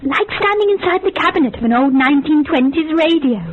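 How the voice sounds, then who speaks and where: An actress in a radio horror drama playing an awed, uneasy paranormal researcher, wondering and expressive. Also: female voice, high voice, fairly quick, a little hiss or hum. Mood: relieved, curious. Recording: thin phone-like band.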